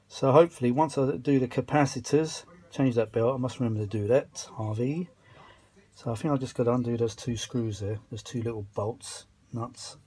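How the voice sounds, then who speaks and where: A man's voice: medium pitch, a little mellow, slightly rough. A British man giving a casual, rambling repair commentary to camera, colloquial, with muttered asides and mild grumbling, recorded on a handheld phone. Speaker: hobbyist filming his repair of vintage audio gear. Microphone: handheld phone filming the work.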